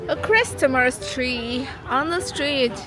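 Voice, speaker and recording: A woman's voice: high-pitched, lively intonation, takes it slowly. A woman vlogger talks casually and curiously on camera while trying food on a market street. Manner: curious, asks questions. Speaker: travel vlogger. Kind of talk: casual on-camera vlog talk. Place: outdoor market street and cafe. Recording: music and crowd noise.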